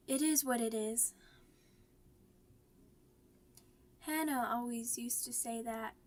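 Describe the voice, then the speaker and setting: A woman playing a shy, grieving, vulnerable young werewolf pup in an ASMR audio roleplay, with halting, stammering, childlike speech. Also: on the high side, darkish tone. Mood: subdued, wistful.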